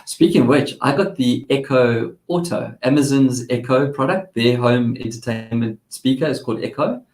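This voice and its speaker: A South African man hosts a relaxed tech chat with a gravelly edge to his voice. He talks in a rambling way, full of restarts and asides. The sound is echoey, like a bare studio room. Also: mid-range voice, moderate pace. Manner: chatty, rambling, with many restarts. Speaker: tech segment host. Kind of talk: casual chat.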